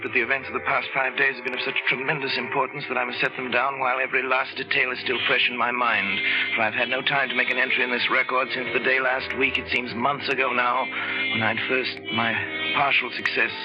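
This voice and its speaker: An actor playing a weary, grave scientist narrating his journal in a solemn, deliberate 1940s radio drama style. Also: medium pitch, level tone, natural voice. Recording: dull 1940s radio recording. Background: loud dramatic music.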